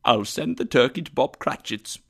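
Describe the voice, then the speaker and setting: A man's voice: mid-range voice, moderate pace, lightly acted. A man voicing an overjoyed, reformed old miser in a storybook reading, exclamatory and full of glee. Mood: gleeful generosity. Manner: exclamatory, gleeful, effusive.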